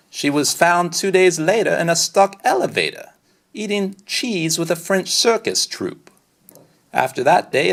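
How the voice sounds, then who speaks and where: A man reading a children's storybook aloud in an expressive, rhythmic, dramatic storytelling style. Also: fairly high pitch, vocal fry. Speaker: storybook reader.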